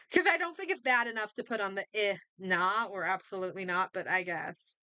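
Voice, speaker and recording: A woman doing casual game stream commentary, reading aloud and reacting in a halting, think-out-loud way. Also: medium pitch, clean tone, dry recording.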